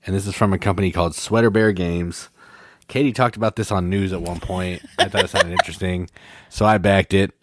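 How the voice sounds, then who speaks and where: Deep-voiced man explaining rules. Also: fairly even intonation, fairly quick, very noisy. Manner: rambling, self-correcting explainer. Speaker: podcast co-host. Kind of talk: casual chat, explaining game rules.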